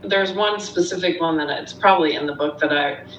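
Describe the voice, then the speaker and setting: A woman's voice: a little fast, muffled recording. A woman, the front woman of a band, speaking candidly in a casual interview chat. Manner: relaxed, candid storytelling. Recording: roomy, distant remote mic with faint rumble.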